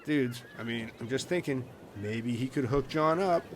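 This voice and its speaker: A man's voice: medium pitch, moderate pace, a little hiss or hum, close to an everyday voice. A man playing a casual, helpful mate in a comedy audio sketch, chatty and a little tentative. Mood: casual, suggesting.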